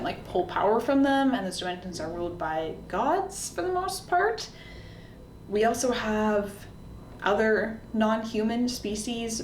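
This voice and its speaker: A woman talking casually and chattily to camera as a book reviewer, using lots of conversational filler words like "you know". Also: mid-range voice. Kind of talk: casual talk to camera recommending books. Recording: roomy echo, distant mic, faint noise.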